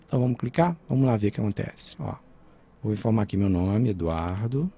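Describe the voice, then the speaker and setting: A man's voice: on the low side, lively intonation, brisk, dark tone, a little hiss or hum. A man with a Brazilian Portuguese accent teaching a programming lesson over a screen recording, talking informally and checking in with his students.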